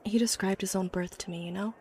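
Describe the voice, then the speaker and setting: A woman's voice: mid-range voice, steady tone, fast talker, natural voice. A woman playing an obsessive, haunted young inventor from a wealthy family in a horror audio drama, confiding hesitantly with phrases that drop to a whisper. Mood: wistful, fond. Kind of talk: horror audio drama acting, confessional monologue. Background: background music.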